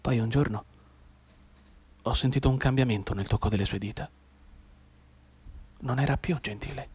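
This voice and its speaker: An actor playing a mute, bedridden wounded man in an intense radio monologue, going between hushed brooding and anguished urgency. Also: mid-range voice, normal speed, slightly rough. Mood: tormented, subdued. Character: mute, bedridden wounded man desperately tapping out Morse code.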